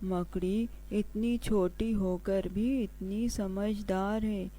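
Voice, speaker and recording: Man playing a slow-spoken, earnest cartoon king. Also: high-pitched, steady tone, mellow, poor audio. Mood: humbled, resolved. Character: defeated king, despondent then humbled and resolved. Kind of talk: children's cartoon character voice.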